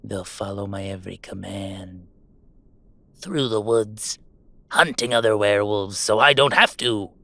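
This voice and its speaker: A man's voice: fairly low pitch, unhurried, gravelly, bright, forceful tone, dry recording, heavily acted. A man playing a goofy young werewolf streamer in an intimate roleplay audio drama, talking in casual, mock-grandiose banter with small hesitations. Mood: playful, mock-grandiose. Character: goofy young male werewolf streamer, playful, defiant about family. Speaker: game streamer.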